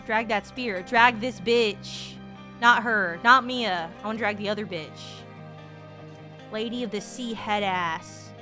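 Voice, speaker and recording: A woman streamer giving a casual, foul-mouthed, slangy and excitable live reaction to a game. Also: mid-range voice, unhurried. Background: game music.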